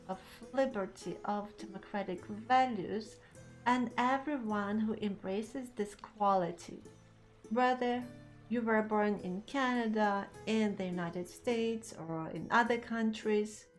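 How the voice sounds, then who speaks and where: A middle-aged woman from Ukraine with a Ukrainian accent, hosting a scripted explainer and talking emphatically to the viewer with rhetorical asides. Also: mid-range voice. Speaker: activist, channel host. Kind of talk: scripted direct-to-camera explainer.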